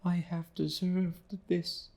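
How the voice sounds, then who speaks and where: A man voicing a desperate young woman who weeps and begs for mercy in a high, sobbing voice. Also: level tone, takes it slowly, vocal fry, darkish tone, exaggerated voice. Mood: pleading, sobbing.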